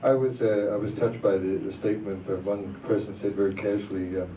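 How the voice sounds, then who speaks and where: A man moderating a festival Q&A, hesitant and relaxed, with an easy joking manner. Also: on the low side, fairly quick, a little hiss or hum. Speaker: panel moderator. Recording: echoey hall, far from mic.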